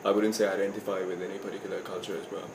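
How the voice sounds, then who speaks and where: A young Indian student talks in a relaxed, even way. His low voice barely moves in pitch. It rings in an echoey room over clear background noise. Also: rapid-fire. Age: young adult. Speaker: university student. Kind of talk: interview answer.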